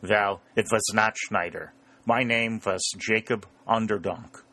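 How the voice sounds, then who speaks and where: A man's voice: lowish voice, steady tone, unhurried, sharp tone, exaggerated voice. Man doing a middle-aged farmer, broken English, sly and stubborn, mock-mournful, storybook character. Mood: wily, dry. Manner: broken English with foreign phrasing. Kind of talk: audiobook character voice.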